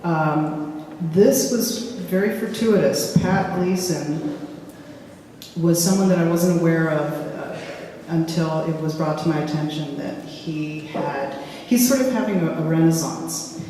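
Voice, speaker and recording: A woman filmmaker speaking casually in a public discussion, halting and searching for words with frequent restarts. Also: low voice, warm tone. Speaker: filmmaker in a post-screening discussion. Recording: echoey hall from far off, faint hiss.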